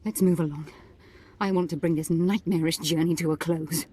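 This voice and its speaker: A woman's voice: low voice, steady tone, moderate pace, dark tone, slightly rough. An actress playing a haughty, dramatic middle-aged noblewoman and mother in a video game, speaking formally and imperiously. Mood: indignant, demanding. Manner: imperious, melodramatic, formal diction.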